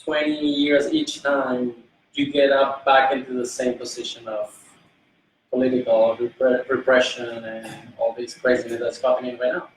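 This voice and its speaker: A man's voice: medium pitch, flat delivery, moderate pace, distant mic. A man in a film cast, chatting casually in a loose way, stopping to search for words. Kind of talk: casual panel discussion. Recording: echoey room, faint noise.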